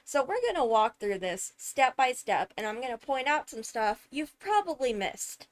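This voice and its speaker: A woman streamer giving casual, emphatic analysis of a game video, halting as she thinks through points. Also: a little high, sing-song. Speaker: streamer, host.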